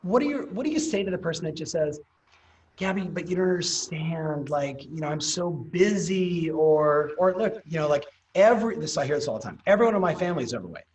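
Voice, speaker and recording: A middle-aged man hosts a podcast, talking in an easygoing, chatty way with playful asides. His voice sits a little higher than usual for a man. It sounds set back from the microphone, with a faint background hum. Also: animated, brisk, a little mellow. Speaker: podcast host. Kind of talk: casual interview talk. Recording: distant mic, faint background noise.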